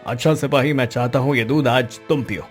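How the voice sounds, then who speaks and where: A man voicing a stately, shrewd king in a moral-story cartoon, formal, measured and authoritative in manner. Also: mid-range voice, faint music behind, close to an everyday voice. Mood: stern.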